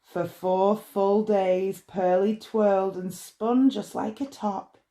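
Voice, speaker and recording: A woman reading a rhyming bedtime story in a measured, expressive way. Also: mid-range voice. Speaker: storybook reader.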